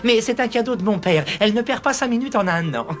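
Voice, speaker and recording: A man's voice: high voice, lively intonation, quick pace. A man voicing an eager, flustered young would-be butler in a cartoon, stammering and deferential. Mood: polite, eager. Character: eager, flustered young male ex-circus performer seeking butler job. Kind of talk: animated cartoon dub acting. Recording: loud music behind dialogue.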